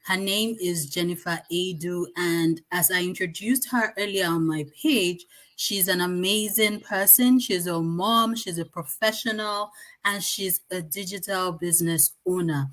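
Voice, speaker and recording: A woman's voice: lowish voice, unhurried, fairly bright tone. A woman hosting a casual live online interview, chatty and encouraging with her guest.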